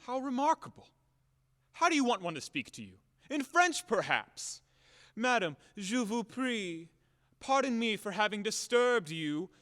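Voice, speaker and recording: An actor playing a blustering, hot-tempered boor in an audio play, loud and declamatory, scornful and bombastic. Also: male voice, high voice, sing-song, unhurried, full character voice. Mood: mocking. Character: blustering, hot-tempered, boorish man demanding repayment, cynical about women. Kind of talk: theatrical audio play acting. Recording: echoey hall-like reverb.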